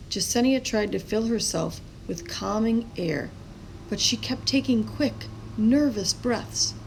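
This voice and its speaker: A woman with a creaky voice reading a children's story aloud expressively, as a storyteller. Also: mid-range voice, darkish tone, a little hiss or hum, rough recording. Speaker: storybook reader.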